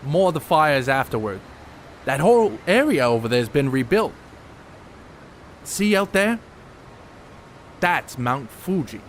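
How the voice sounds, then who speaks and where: An actor playing a brainy, secretive ballplayer who explains things with dry wit in a radio play. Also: male voice, fairly high pitch, big pitch swings, takes it slowly, pauses often, slight background noise. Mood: matter-of-fact. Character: brainy, secretive ballplayer, adult man, dryly matter-of-fact.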